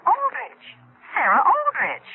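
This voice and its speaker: A woman's voice: very high-pitched, wildly swooping pitch, slow pace, heavily acted. An actress in a 1970s radio drama playing a prim, solicitous society lady with a gushing, genteel manner. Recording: very narrow tinny telephone and radio sound.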